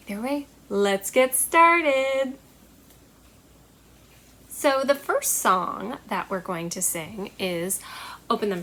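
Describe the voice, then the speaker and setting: Bright, very animated woman hosting children's storytime. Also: sits high. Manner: sing-song, animated delivery aimed at small children; simple, encouraging phrases with direct questions to children. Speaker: children's librarian, storytime host. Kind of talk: children's storytime presenting with songs.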